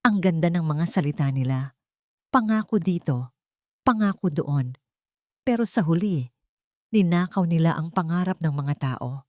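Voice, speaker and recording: Woman voicing bitter elderly Filipino woman, low voice. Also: expressive, a little slow, long pauses, darkish tone, no echo, clean studio sound. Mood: bitter, sorrowful. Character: sharp elderly woman, bitter witness of old injustice.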